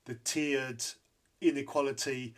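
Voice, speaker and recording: A man's voice: mid-range voice, steady tone, slow. A man hosting an interview podcast, who grew up in Zambia, speaking candidly and repeating points for emphasis. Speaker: podcast host.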